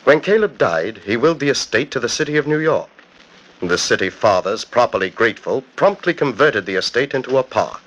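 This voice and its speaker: Animated old-time radio mystery narrator, male. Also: medium pitch, muffled recording. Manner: theatrical, deliberate storytelling. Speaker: narrator, host. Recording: old 1940s radio broadcast.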